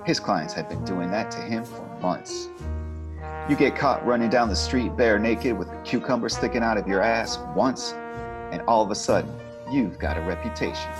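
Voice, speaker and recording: A man with a very low voice narrates a hard-boiled noir spoof for radio. His delivery is deadpan and dramatic, with music playing clearly behind him. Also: moderate pace. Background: music under the voice.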